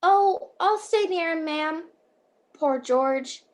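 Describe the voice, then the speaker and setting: A woman playing a gentle, dutiful guardian angel in an amateur radio play. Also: extremely high pitch, slow. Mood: concerned.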